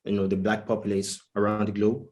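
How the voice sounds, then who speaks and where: A man's voice: fairly low pitch, completely flat delivery, fairly quick, darkish tone. A man with a Nigerian accent talking conversationally as a panelist, hesitant and often dropping in "you know". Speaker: writer, panelist. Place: online video panel.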